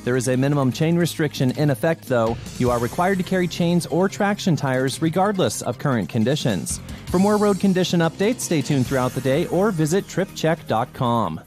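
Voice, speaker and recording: A man's voice: on the high side, lively intonation, normal speed, darkish tone. A man reading a scripted road conditions bulletin as a radio announcer. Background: music bed.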